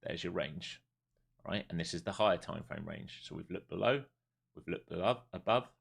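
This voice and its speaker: Man, forties, very low voice, casual blunt livestream chat about trading. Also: normal speed, gravelly, a bit bright, husky. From Europe. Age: 40s. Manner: casual, chatty, blunt, swears freely. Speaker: livestream day trader. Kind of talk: live market commentary and chat.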